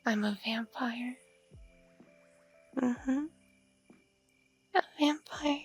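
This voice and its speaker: A woman playing a seductive, hungry mature vampire mother in an intimate ASMR roleplay, teasing and condescending, breathy and slipping into whispers. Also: high-pitched, faint music behind, exaggerated voice. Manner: slow, teasing, hesitant hums and pauses.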